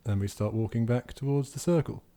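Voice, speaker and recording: A man's voice: mid-range voice, a little fast, dark tone. A man casually improvising narration of his character's actions as a player in a roleplaying game.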